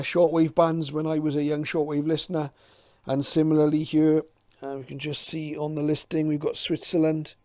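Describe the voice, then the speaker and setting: A middle-aged man with a soft-edged, mellow voice that sits slightly high. He talks informally and unscripted, in a very level tone, pausing and hesitating like a hobbyist thinking back as he presents.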